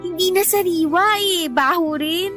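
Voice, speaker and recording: High, sharp-voiced demanding young wife. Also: female voice, unhurried, soft background music. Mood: fussy. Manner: petulant, insistent. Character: moody, demanding pregnant young wife, whiny and bossy. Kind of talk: Tagalog radio drama acting.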